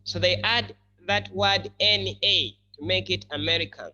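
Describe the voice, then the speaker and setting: Slow, bright-voiced male English teacher. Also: high-pitched, dull audio, slight background noise. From country formerly colonized by the UK. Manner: deliberate explaining, spelling out letters, repeating key words. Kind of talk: classroom lesson on English.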